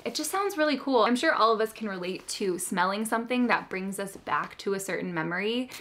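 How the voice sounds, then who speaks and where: A woman's voice: medium pitch, animated, fairly quick, fairly bright tone. A woman hosting a book video, chatting casually to viewers in an enthusiastic, giggly, gushing way. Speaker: host of a book haul video.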